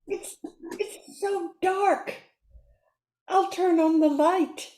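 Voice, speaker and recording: High, echoey, timid young-assistant type played by a woman. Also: steady tone, extremely slow, warm tone, heavily acted. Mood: uneasy. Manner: tentative, hesitant. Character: timid, polite Greek woman, starstruck assistant. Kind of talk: radio drama acting.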